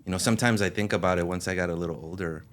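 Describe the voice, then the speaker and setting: A man born in Mexico, a guest in a casual interview, telling his story in a relaxed way and laughing easily. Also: low-pitched, rapid-fire, crowd noise.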